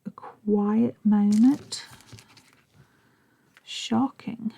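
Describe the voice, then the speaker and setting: A middle-aged woman with a Southern US accent, chatting and rambling softly, often half-whispering, as she narrates her crafting. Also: medium pitch, steady tone, drawn-out words, creaky, breathy edge. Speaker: crafting vlogger.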